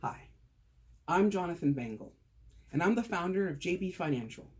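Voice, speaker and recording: A man's voice: high-pitched, animated, normal speed, darkish tone. A man talking casually to a small group, then presenting on taxes with jokes and rhetorical questions. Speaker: tax advisor giving a talk.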